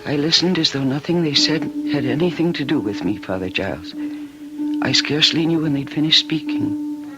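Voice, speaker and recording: A woman's voice: sits low, big pitch swings, moderate pace, low-quality recording. Troubled young woman, music behind. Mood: haunted. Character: troubled young woman confessing a dark deed. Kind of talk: old-time radio drama acting and confessional narration.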